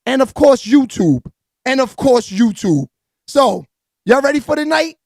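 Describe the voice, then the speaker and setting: A man hosts a live hip-hop gossip stream. He talks in a hyped, slangy way and keeps shouting out his viewers. His voice sits close and dry, with no room sound around it. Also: extremely high pitch, sing-song, unhurried, dry recording. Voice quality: raspy. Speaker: live-stream entertainment news host.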